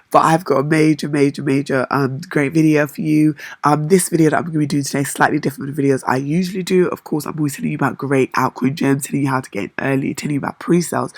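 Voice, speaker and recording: Hoarse, husky-voiced woman vlogger. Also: low-pitched, dry recording, clean studio sound. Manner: chatty and enthusiastic, with frequent fillers and repetitions. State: hoarse, lost voice. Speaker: crypto vlogger. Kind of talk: casual talk-to-camera vlog.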